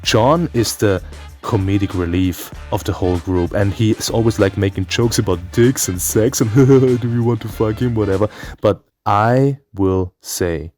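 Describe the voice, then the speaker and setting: A man's voice: on the low side, normal speed, a little mellow. A man delivering a comedic scripted video game review in a theatrical, profane and playful way. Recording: music behind the voice.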